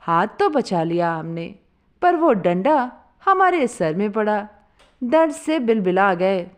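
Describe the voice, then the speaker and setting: A woman narrating a humorous first-person story in an expressive, conversational way. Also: mid-range voice, takes it slowly.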